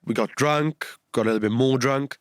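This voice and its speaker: A man's voice: medium pitch, normal speed, no room sound, clean studio sound. A young man co-hosting a podcast in casual chat, bunged up with a cold, easygoing and teasing. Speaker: podcast co-host. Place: podcast studio. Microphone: studio microphone.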